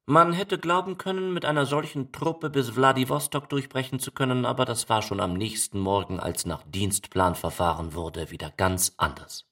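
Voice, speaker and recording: A man giving a scripted literary documentary narration with deliberate, measured phrasing. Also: mid-range voice, bright, forceful tone, no room sound, clean studio sound. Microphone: close studio microphone, dry.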